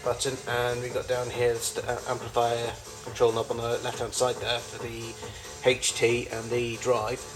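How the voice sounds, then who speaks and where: A man with a mid-range voice talks through a collection in an informal, unscripted way. His delivery is halting and rambling, and he often corrects himself as he goes. He sounds like a hobbyist showing things off piece by piece. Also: mid-range voice, completely flat delivery, over music, rough recording. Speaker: collector showing vintage tape recorders. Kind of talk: informal unscripted show-and-tell commentary.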